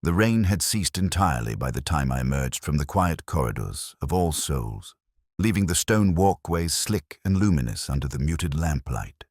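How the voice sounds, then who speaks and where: A man reading a scripted first-person detective story in a measured, formal, reflective manner. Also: extremely low pitch, sing-song, darkish tone, no room sound, clean studio sound. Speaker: audiobook-style story narrator.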